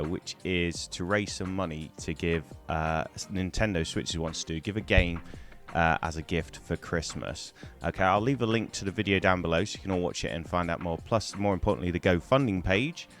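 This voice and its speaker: A middle-aged man from Wales, hoarse from a cold, chatting to camera in a rambling way on a poor-quality microphone. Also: low-pitched, fairly bright tone. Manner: rambling, chatty, many ums and restarts. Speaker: gaming YouTuber. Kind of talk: casual to-camera storytelling.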